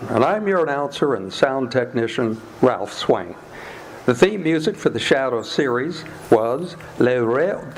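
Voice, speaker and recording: A man's voice: mid-range voice, slight background noise, low-quality recording. A man reading formally as announcer of an old-time radio show. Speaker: announcer and sound technician. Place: performed live in a cafe. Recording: live radio play over music.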